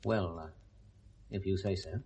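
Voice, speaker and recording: An actor playing a genteel, pious and concerned British confidant in a 1970s radio drama, speaking with gentle firmness. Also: male voice, sits low, a little slow, vocal fry, breathy edge, heavily acted. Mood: sympathetic, tentative.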